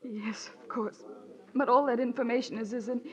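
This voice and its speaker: Lady playing a worried wife in old radio drama, pleading, highish voice, dull vintage recording. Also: steady tone, moderate pace, darkish tone, close to an everyday voice. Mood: distressed. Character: anxious, devoted young wife of missing man. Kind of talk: 1940s radio drama acting. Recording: muffled old radio broadcast.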